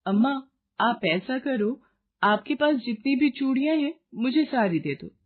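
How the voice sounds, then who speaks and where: Casting: woman playing generous, well-off young lady, high voice, polite and decisive, storybook dialogue, phone-like sound. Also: expressive, unhurried. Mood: confident, friendly. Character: kind, confident rich young woman, generous. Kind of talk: acted dialogue in a moral story.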